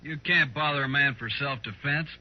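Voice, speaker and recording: An actor playing a swaggering, suspicious Old West outlaw in a 1950s radio western, with boastful, folksy cowboy talk. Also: male voice, mid-range voice, normal speed, lightly acted. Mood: surly. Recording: dull vintage radio recording.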